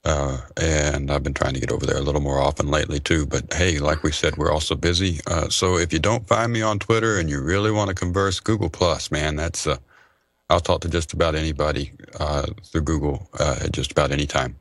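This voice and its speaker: A man appearing as a guest panelist and audio engineer, talking in a relaxed, hesitant way with lots of fillers. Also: a bass voice, brisk, no echo, clean studio sound. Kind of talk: casual panel chat.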